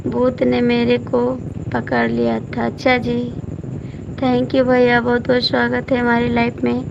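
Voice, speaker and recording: A hoarse woman with a deeply gravelly, fry-laden voice chats slowly and flatly with speech. She reads out comments and keeps nudging viewers by name. The sound is dull and lo-fi, with noticeable street noise and passing vehicles behind her. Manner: chatty, repeatedly coaxing viewers by name to comment. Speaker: folk singer hosting a live stream. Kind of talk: casual live-stream chat reading out viewer comments.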